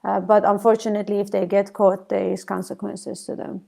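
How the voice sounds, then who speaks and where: Iranian-accented woman, gravelly voice. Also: mid-range voice, little pitch movement, warm tone. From Iran. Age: young adult. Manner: measured, with frequent ums. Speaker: podcast guest. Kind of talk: casual interview answers. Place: home in South Africa, remote call.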